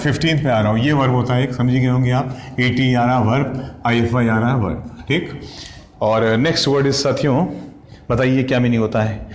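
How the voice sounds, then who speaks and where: A man, teaching exam vocabulary in a brisk, chatty way. He spells each word out letter by letter, stops to ask if you follow, and corrects himself as he goes. The room sounds echoey, with a faint hum of ambient noise. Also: mid-range voice. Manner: spells words letter by letter, repeats "ठीक?" constantly. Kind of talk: exam-prep vocabulary lesson.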